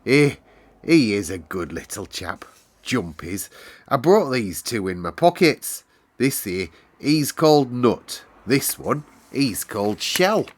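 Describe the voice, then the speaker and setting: A man's voice: medium pitch, very animated. A man voicing a cheerful, kindly country boy in a storybook, speaking broad Yorkshire dialect with eager wonder. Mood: fond, proud. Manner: broad dialect, warm exclamations, unhurried wonder.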